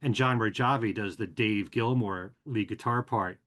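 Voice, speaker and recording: A man hosting a podcast, casually running through show news and plugs in a chatty, relaxed way with frequent filler words. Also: fairly low pitch, moderate pace. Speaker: podcast and radio host.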